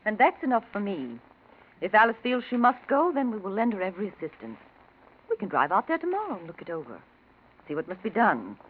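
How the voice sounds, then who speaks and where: Radio drama part: actress playing decisive, genteel, supportive sister-in-law, lively intonation, muffled vintage recording. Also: female voice, medium pitch, brisk, a little hiss or hum, close to an everyday voice. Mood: brisk, kindly. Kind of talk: 1940s radio acting.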